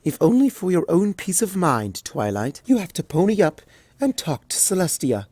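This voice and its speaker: A woman voicing a gentle, refined unicorn mare who speaks in a posh, soothing way. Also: fairly low pitch, big pitch swings, moderate pace, dry recording, studio quality, voice pushed up. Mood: firm. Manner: posh, soothing, calls others darling.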